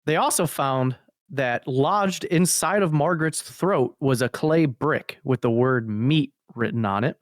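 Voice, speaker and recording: Male podcast co-host, average pitch, casual blunt banter with swearing, very dry recording. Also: unhurried, no echo. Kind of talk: casual joking chat about horror videos.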